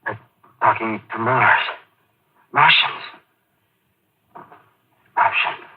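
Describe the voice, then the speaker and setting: A man playing a pained, haunted army colonel and hospital patient, halting and agonised, in an old-time radio drama. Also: fairly low pitch, fairly even intonation, heavy background noise. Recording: muffled old radio recording.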